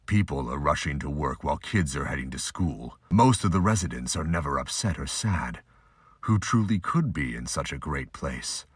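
A man narrating a scripted amateur audio drama with a husky voice. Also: rumbling low, expressive, normal speed, no room sound, clean studio sound.

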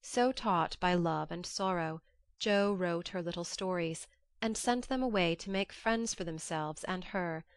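A woman narrating an audiobook in an expressive, literary storytelling style. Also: fairly low pitch, no echo.

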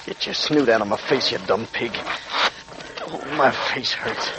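An actor playing a vicious, desperate escaped convict with barking gangster menace in a 1940s radio crime drama. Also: male voice, mid-range voice, measured pace, natural voice. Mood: surly, exhausted. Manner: barking, menacing tough-guy gangster talk. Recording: noisy, crackly 1940s radio recording.

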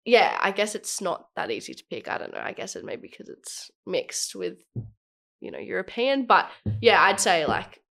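A woman's voice: medium pitch, wildly swooping pitch, normal speed, creaky, a bit bright. A young woman in casual chat, talking in a chatty way with lots of fillers and frequent laughs.

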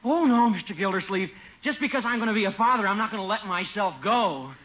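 An actor playing an earnest, boyish young husband in a 1950s radio sitcom. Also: male voice, sits high, expressive, fast. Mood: earnest worry. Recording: muffled old radio broadcast.

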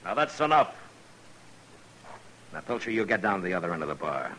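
An actor playing a firm, weary frontier marshal in a terse, commanding 1950s radio western style. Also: male voice, low-pitched, sing-song, a little fast, pushed and bright, full character voice, low-quality recording. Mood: stern, commanding. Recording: old radio recording with crowd noise.

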